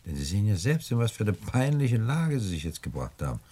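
A man's voice: lowish voice, very animated, fairly quick, dark tone, slightly rough. An actor playing a suave, patronizing older crime boss in a 1960s German radio play, speaking with avuncular politeness and repeating his phrases. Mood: mild reproach.

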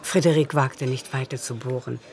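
A woman's voice: very deep voice, fairly even intonation, moderate pace, darkish tone. A woman reading scripted literary narration as the narrator of a radio drama.

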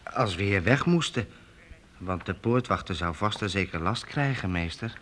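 Lowish, hesitant young apprentice. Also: male voice, expressive, normal speed, a bit bright. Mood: hesitant, earnest. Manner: hesitant, respectful. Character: young, deferential minstrel's apprentice, eager and hesitant. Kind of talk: radio play acting.

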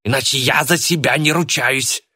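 A man's voice: big pitch swings, a little slow, a bit bright, exaggerated voice. Man doing a screechy, cantankerous old man. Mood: shrieking anger. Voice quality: raspy, screechy. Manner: shrill indignant outbursts. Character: cantankerous, sickly old man in a wheelchair, spiteful.

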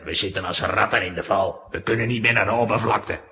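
A man playing an anxious mine rescue worker in a children's radio play, alarmed and hurried. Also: fairly low pitch, steady tone, bright, forceful tone, roomy sound.

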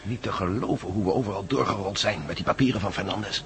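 A man's voice: fairly low pitch, fast, a bit bright. A man playing a wary, insistent adventurer on the run in a Dutch radio drama. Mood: cautious, brooding. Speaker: actor in a radio play. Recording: faintly noisy old radio recording.

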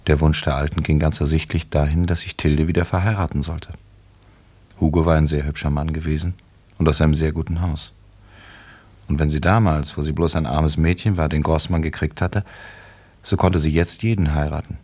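A man narrating a literary radio play in a measured, wry and detached manner. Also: steady tone, warm tone. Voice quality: very deep, husky.

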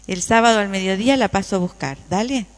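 A woman's voice: mid-range voice, fast, background noise, natural voice. Reverberant, friendly Argentine woman played by an actress. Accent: Argentine Rioplatense. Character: friendly, appreciative woman customer chatting with a friend. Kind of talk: radio play acting. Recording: reverberant room with long echo.

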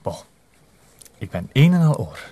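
A man's voice: mid-range voice, sing-song, unhurried, very noisy. A man playing a nonchalant, evasive young Flemish son talking back to his father in a radio drama. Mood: bored, insolent. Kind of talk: naturalistic radio drama acting.

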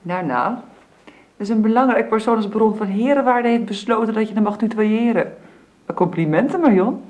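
An actress playing a brisk, practical, matter-of-fact sister with gentle mockery in a Dutch radio drama. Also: female voice, on the high side, lightly acted. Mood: teasing, insistent.

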